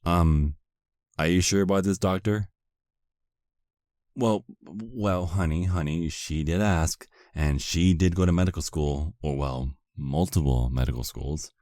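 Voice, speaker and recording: A man acts out a put-upon vampire husband in a comedic audio roleplay. The male voice is low and recorded close and dry. He complains with sardonic, hesitant asides. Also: unhurried, darkish tone, no echo. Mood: coaxing.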